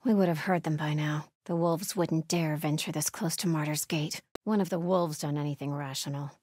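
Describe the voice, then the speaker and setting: An actress playing a devout, watchful cult sentry in a video game, speaking in a solemn, measured, pious way with a husky voice. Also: female voice, sits low, steady tone, a little mellow, dry recording, clean studio sound. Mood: solemn vigilance. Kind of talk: video game ambient dialogue.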